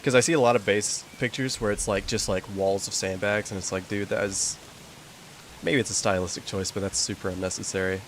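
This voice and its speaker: A man's voice: on the low side, fairly even intonation, fairly quick. Young man chatting casually over hiss. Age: young adult. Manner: rambling, with many fillers. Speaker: gaming streamer. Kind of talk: casual chat while playing a game.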